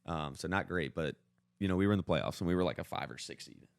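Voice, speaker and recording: Deep-voiced young man telling sports stories. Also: vocal fry, dry recording. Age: young adult. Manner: informal, slangy, halting retelling. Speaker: podcast co-host. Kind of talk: casual sports storytelling with friends.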